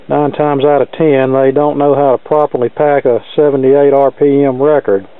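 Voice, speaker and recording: A man's voice: mid-range voice, clear, resonant tone, no echo. Man in a dull, dry lo-fi recording. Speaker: video host, record collector. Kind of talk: casual unboxing commentary with practical advice.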